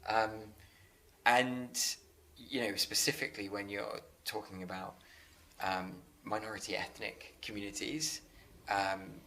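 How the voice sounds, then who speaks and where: A man in a conversational interview, speaking earnestly and haltingly, often repeating words as he works through a thought. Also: lowish voice, steady tone, sharp tone, slight background noise, low-quality recording. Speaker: interviewer and business leader.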